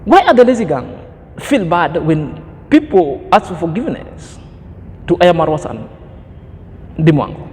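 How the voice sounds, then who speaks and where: Man, 30s, high-pitched vlogger with a preachy, emphatic delivery and lofty vocabulary, recorded in a reverberant room with a low rumble. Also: very animated, a little slow, pauses often, darkish tone. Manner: preachy, emphatic, fond of grand words. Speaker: commentary vlogger. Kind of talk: opinion commentary monologue.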